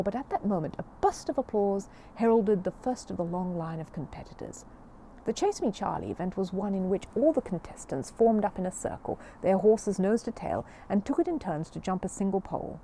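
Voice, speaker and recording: A woman reading a children's story aloud in an expressive, animated storytelling manner. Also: mid-range voice, a little hiss or hum.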